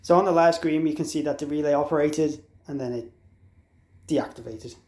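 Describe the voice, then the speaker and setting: A man with a slightly high voice talks through a product tutorial in an echoey room. He explains things step by step in an informal, unscripted way, carefully spelling out letters and numbers. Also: fairly quick, a little mellow. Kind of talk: instructional walkthrough, informal and unscripted. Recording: echoey, roomy sound.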